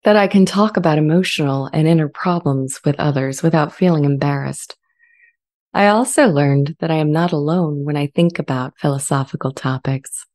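A woman playing a gentle, thoughtful sentient AI in a dramatized reading, with a calm, measured and reflective delivery. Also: deep voice, lightly acted. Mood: serene, thoughtful. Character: sentient female-voiced AI, gentle, serene and thoughtful. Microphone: large studio condenser mic up close.

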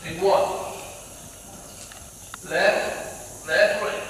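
Echoey far-off riding coach, high voice. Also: male voice, fairly even intonation, extremely slow, fairly bright tone, a little hiss or hum. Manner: short repeated commands, frequent "okay?", encouraging. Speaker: riding clinic instructor. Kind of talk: coaching a rider in a dressage lesson. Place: large indoor riding arena. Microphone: far camera. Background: hoofbeats.

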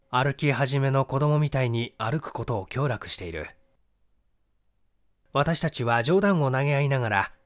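A middle-aged man reading a literary mystery story as an expressive, dramatic audiobook narrator. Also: medium pitch. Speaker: audiobook narrator, stage actor.

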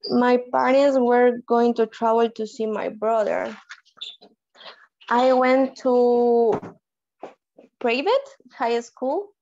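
Slow-reading woman from El Salvador. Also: a little high, darkish tone, a little hiss or hum. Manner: careful, reading with some grammar slips. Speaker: student. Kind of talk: reading a written exercise aloud. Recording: dull lo-fi audio.

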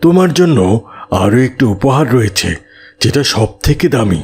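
A man voicing a kindly village imam in a cartoon, speaking slowly and deliberately with a husky voice. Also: medium pitch, big pitch swings, a little mellow, full character voice. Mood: patient, instructive. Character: kindly village imam, patient adult man giving moral lessons. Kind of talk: cartoon moral-tale dialogue.

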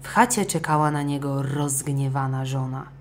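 A woman with a low voice narrates a children's fairy tale. She reads expressively as an audiobook storyteller. There is a little noise behind her. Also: fairly even intonation, normal speed.

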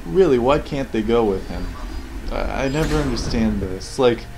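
A man's voice: medium pitch, expressive, normal speed, gravelly, noisy background. A man giving casual, rambling commentary on video game cutscenes, sarcastic, profane and nitpicking. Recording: game dialogue and ambience behind the voice. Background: video game cutscene audio.

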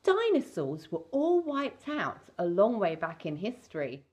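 A woman's voice: medium pitch, huge pitch swings, a little slow, a little mellow, slightly rough. A middle-aged woman reading a children's storybook as an entertainer, with a playful, exaggerated, theatrical delivery. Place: small indoor set with fabric backdrop.

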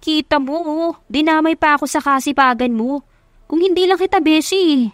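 A playful young woman, the heroine's chatty best friend voiced by a female narrator, in a radio drama love story. She banters in slang, pitched high, with a dry close sound. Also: moderate pace, no echo, lightly acted. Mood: teasing.

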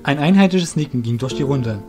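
A man's voice: medium pitch, expressive, brisk, a little mellow. A man reads scripted story narration for an audio drama. He acts as narrator, telling the events in prose. Faint plucked guitar music plays behind him.

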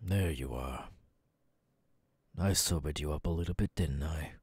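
A man voicing a menacing, haughty, sadistic demon king in an ASMR audio roleplay, soft-spoken and condescending. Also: a bass voice, unhurried, slightly rough, no room sound, heavily acted. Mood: calm, threatening. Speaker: voice actor.

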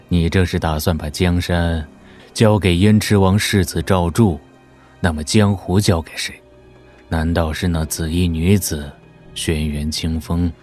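A grave master swordsman of middle years in a wuxia audio drama. His voice sits very low, and he probes in a measured, skeptical tone over faint music. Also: fairly even intonation, a little mellow. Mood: questioning.

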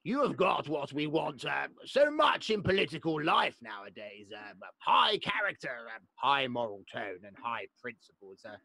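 An actor playing a blustering, pompous elderly English lord who stammers and splutters indignantly in a radio play. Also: male voice, a little high, sing-song, moderate pace, vocal fry, few pauses, pushed and bright, no room sound, full character voice, rough recording.

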